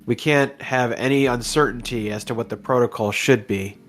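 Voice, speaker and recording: A man's voice: mid-range voice, moderate pace, a bit bright. A man playing a tabletop game, chatting casually with the other players.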